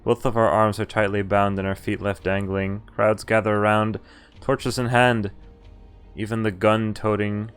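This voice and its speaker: Male game streamer, fairly low voice, casual read-aloud, offhand asides, faint music behind. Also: level tone, smooth. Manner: halting asides, casual swearing. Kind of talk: reading a visual novel aloud with casual commentary.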